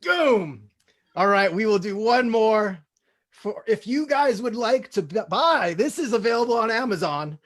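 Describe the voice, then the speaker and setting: A man's voice: high voice, lively intonation, unhurried. A man hosting a comedy table read, switching between casual chat and scripted stage-direction narration.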